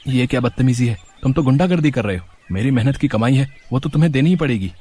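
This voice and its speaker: A man's voice: mid-range voice, expressive, quick pace, mellow, close to an everyday voice. An honest, poor street seller in a storybook drama, acted by a man. His mid-range voice complains and protests plaintively, like someone wronged. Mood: indignant protest. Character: honest, aggrieved poor male street food vendor.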